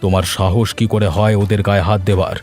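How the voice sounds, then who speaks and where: A man's voice: fairly low pitch, little pitch movement, quick pace, darkish tone, soft background music, close to an everyday voice. A man voicing a stern, domineering village headman in a cartoon, scolding and interrogating in a commanding manner. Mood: stern, accusing. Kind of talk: cartoon dialogue.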